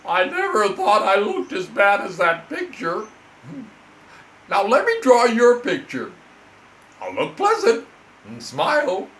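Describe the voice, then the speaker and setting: A man's voice: high voice, huge pitch swings, takes it slowly, a bit bright, voice pushed up, exaggerated voice. A man voicing a precocious, self-important frog in a declamatory way for a children's bedtime story. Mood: chatty. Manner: punctuates speech with ribbits, declamatory. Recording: echoey room, off the mic.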